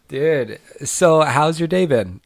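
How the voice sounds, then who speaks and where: A man hosting a podcast, speaking earnestly with measured pauses, a little congested from a cold. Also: a little high, gravelly, no room sound, studio quality. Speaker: podcast host. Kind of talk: podcast intro and interview.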